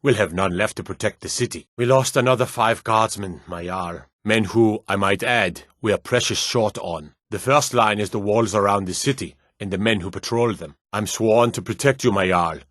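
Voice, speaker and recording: An actor as a low-voiced, gruff fantasy city guard. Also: male voice, normal speed, hardly any pauses, fairly bright tone, dry recording, clean studio sound, natural voice. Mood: earnest, concerned. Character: stern, dutiful fantasy city guard, adult man. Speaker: video game voice actor. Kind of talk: scripted game character dialogue.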